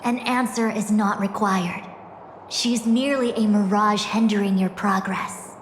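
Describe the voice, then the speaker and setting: A woman's voice: mid-range voice, steady tone, unhurried, slight background noise, close to an everyday voice. A woman voicing a composed, haughty and cryptic sage in a video game, speaking in formal, philosophical phrases. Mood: cold, decisive. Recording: echoey hall reverb, music behind.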